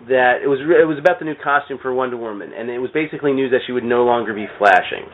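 A man's voice: medium pitch, rapid-fire. Man on thin, phone-like audio. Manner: rambling, jokey, self-interrupting. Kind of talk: casual comics and film chat.

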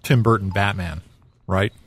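A man's voice: on the low side, steady tone, unhurried, husky. A man co-hosting a casual comics podcast, chiming in with brief comments and agreement. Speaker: podcast co-host. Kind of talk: casual chat about comics.

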